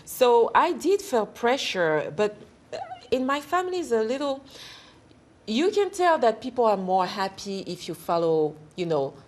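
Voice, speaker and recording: A woman's voice: a little high, sing-song, unhurried. A woman co-hosting, talking thoughtfully in casual chat with frequent fillers. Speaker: co-host.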